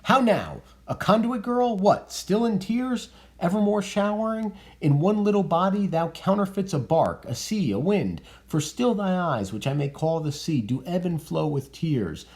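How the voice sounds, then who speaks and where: A man's voice: sits high, animated, unhurried, hardly any pauses, a little mellow, pitched above the performer's own voice. A man reading as a blustering noble father. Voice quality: raised, declamatory theatrical reading. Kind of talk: dramatic reading of verse.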